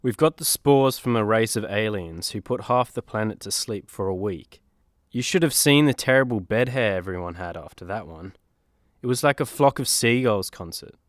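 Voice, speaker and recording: A man's voice: fairly low pitch, animated, normal speed, no room sound, studio quality, natural voice. A man playing a proud, nostalgic superhero showing off mementos in a comedy radio play. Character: proud, nostalgic adult male superhero giving a tour.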